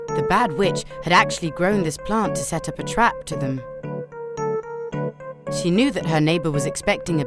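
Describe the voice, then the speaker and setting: Low-pitched woman narrating a fairy tale.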